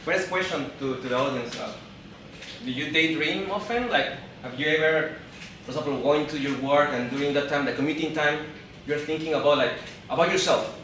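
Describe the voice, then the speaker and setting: A Peruvian man in his thirties gives a talk on stage, his English carrying a Spanish accent. His voice is a little high and rings around a big echoey hall. He sounds some way off the mic and often stumbles, repeats and restarts his words. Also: fairly bright tone, recorded from a distance, slight background noise. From Peru. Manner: halting, repeats and restarts words, laughs along with the crowd. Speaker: event speaker. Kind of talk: live stage talk to an audience. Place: event hall.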